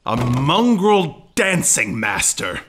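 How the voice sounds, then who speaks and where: A man's voice: medium pitch, very animated, takes it slowly, a bit bright, a little hiss or hum. A man playing a scheming, indignant servant with exaggerated archaic bluster in a period audio farce. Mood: sly, smooth. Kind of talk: farcical period audio drama acting.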